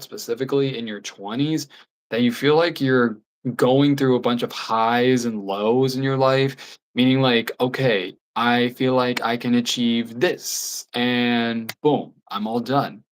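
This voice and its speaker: A 24-year-old livestreamer talking in a casual, candid and rambling way, with soft laughs here and there. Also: medium pitch. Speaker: YouTube livestreamer.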